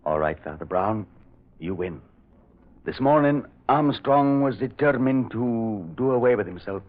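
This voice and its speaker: A man's voice: mid-range voice, lively intonation, unhurried, lightly acted. Man as a grave, burdened war veteran, muffled. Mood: defeated, grim. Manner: grave, confessional. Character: guilt-burdened loyal male war veteran, secretive and anguished. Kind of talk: old radio mystery drama acting. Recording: dull old radio recording.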